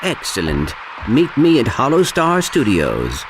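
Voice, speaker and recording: A man's voice: mid-range voice, huge pitch swings, unhurried, background noise. A man voicing a small, polite robot sidekick who speaks formally and precisely in a video game. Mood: suave. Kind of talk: video game cutscene voice acting.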